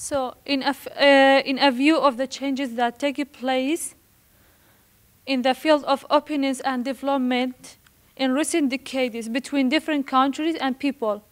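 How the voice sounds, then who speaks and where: High-pitched, nervous young Iraqi woman presenting. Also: steady tone. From Iraq. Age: young adult. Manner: halting, careful reading with stumbles over words. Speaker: student presenter. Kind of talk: prepared academic presentation.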